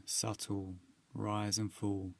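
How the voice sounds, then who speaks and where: A man narrating a guided meditation, giving soothing, measured instructions with long pauses. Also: on the low side, level tone, creaky, background noise.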